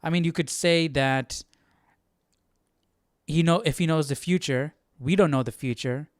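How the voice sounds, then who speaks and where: A man's voice: a little high, a little slow, dry recording, clean studio sound. A man hosting a casual Bible study livestream, thinking aloud and often checking for agreement. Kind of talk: casual commentary.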